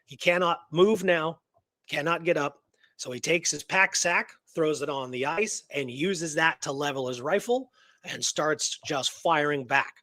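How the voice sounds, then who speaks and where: A man's voice: on the high side, measured pace, sharp tone, no room sound. Engrossed man telling history, close dry sound. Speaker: radio show host telling a true story. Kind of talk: casual historical storytelling.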